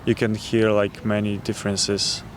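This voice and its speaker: Polish-accented male tourist, halting answers, street recording with light traffic. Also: fairly low pitch, completely flat delivery. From Poland. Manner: hesitant, many fillers. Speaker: interviewee, tourist. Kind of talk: street interview. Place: city street outdoors.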